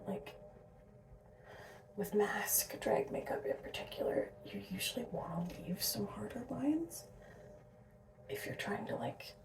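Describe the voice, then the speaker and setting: A sleep-deprived young woman from Alberta, rambling in a soft whisper with asides and little laughs as she does her drag makeup. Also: medium pitch. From Alberta, Canada. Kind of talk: casual whispered makeup tutorial. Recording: roomy, mic set at a distance.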